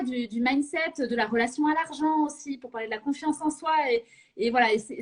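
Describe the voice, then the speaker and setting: High-voiced chatty French-speaking woman host. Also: a bit bright. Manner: chatty, many fillers and restarts, laughs often. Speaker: host of a live session. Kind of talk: casual live chat.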